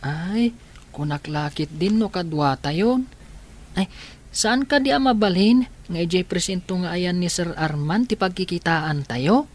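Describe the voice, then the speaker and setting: A woman's voice: on the low side, a little slow. An actress in a radio drama playing a worried woman talking with an older relative. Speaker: actor in a radio comedy drama. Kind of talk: Ilocano radio drama acting.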